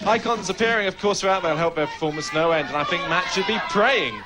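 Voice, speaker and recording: A man giving live play-by-play commentary on a video game race, reacting excitedly. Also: high voice, fast, over a noisy crowd, heavy background noise. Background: music.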